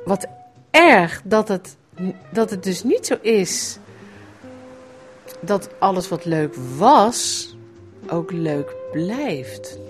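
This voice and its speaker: A woman's voice: mid-range voice, expressive, drawn-out words, long pauses, faint music behind. A middle-aged woman from the Netherlands, a writer telling a personal memory in a reflective radio essay with a wry edge.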